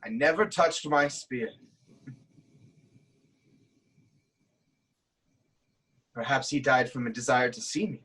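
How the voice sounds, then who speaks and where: A man's voice: mid-range voice, moderate pace, bright, forceful tone, breathy edge, low-quality recording. An actor playing a proud, troubled king haunted by prophecy, in an intense, declamatory reading of Greek tragedy. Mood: shocked, bitter.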